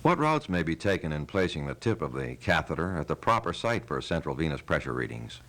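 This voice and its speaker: Low-voiced man, formal medical interviewer. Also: big pitch swings, fairly quick, fairly bright tone. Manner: formal, precise wording. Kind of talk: formal scripted medical questioning.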